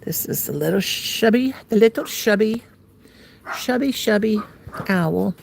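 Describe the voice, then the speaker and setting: A woman's voice: medium pitch, lively intonation, measured pace, creaky, darkish tone, slight background noise. An older American woman hosting a casual crochet livestream, playful and silly, laughing and talking to herself as she works. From United States. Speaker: livestream host.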